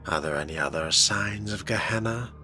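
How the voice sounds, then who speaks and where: A man's voice: lowish voice, moderate pace, bright, forceful tone, soft background music. A man voicing a young fledgling vampire in a video game, terse, inquisitive and a little impatient. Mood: curious. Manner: terse, probing questioner. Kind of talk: role-played video game dialogue.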